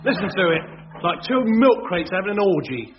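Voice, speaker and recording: Insolent young man, highish voice, radio sitcom, muffled and very noisy recording. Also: big pitch swings, normal speed. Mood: cheeky. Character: insolent, cheeky young male whelp. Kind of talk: vintage radio sitcom acting.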